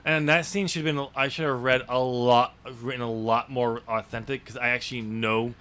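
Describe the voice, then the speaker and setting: A man's voice: mid-range voice, fairly even intonation, moderate pace, fairly bright tone, smooth. A man co-hosting a podcast as an author, discussing a book casually in a rambling way. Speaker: podcast co-host and novel author.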